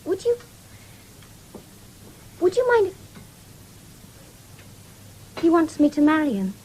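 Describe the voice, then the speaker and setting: A woman's voice: high-pitched, big pitch swings, slow, darkish tone, heavily acted, rough recording. An actress in a 1970s radio drama playing a meek, dutiful young country woman, hesitant and deferential. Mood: shy, hesitant.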